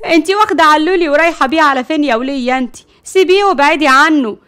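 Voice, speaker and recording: Elegant older rival, played by a woman: Egyptian, demanding, haughty, high fast voice for an audio story. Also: a bit bright. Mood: indignant. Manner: indignant, demanding.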